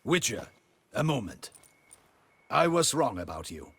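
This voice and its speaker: An actor playing a proud, courteous knight in a video game, with a raspy voice and formal, earnest phrasing. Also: male voice, mid-range voice, big pitch swings, measured pace, fairly bright tone, full character voice. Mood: formal, respectful.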